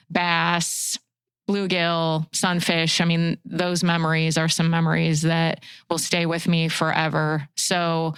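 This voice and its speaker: A woman's voice: on the low side, fairly bright tone, clean tone, no echo. An American woman in her sixties from the Chicago suburbs, speaking earnestly and reflectively as an interview guest. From Chicago suburbs, USA. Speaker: podcast guest, fishing guide and outdoor writer.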